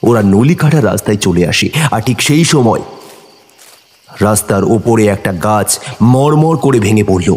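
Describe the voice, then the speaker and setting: A man narrating a horror audio story in a measured, suspenseful manner. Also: fairly low pitch, expressive, darkish tone, slight background noise. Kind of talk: dramatic scripted narration. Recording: reverberant studio with clear music. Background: music.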